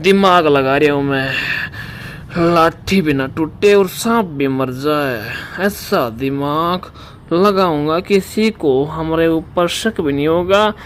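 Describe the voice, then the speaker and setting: A man's voice: on the high side, fairly bright tone, slight background noise. A man playing a boastful, scheming lover with a Rajasthani accent in a mimicked phone-call comedy skit. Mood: scheming, tender. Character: swaggering, scheming lover wooing a married woman.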